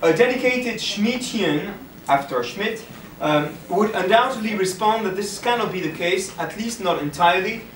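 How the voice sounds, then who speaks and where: A man reading an academic paper aloud at a conference, halting at times and correcting himself as he stumbles. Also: high voice, animated. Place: indoor conference room. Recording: echoey room, distant mic, faint noise.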